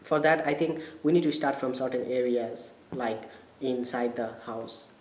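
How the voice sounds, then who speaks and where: A man's voice: mid-range voice, moderate pace, darkish tone. A young man with a Nepali accent gives casual interview answers as a college student, hesitating and searching for words. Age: young adult. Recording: echoey room with background noise.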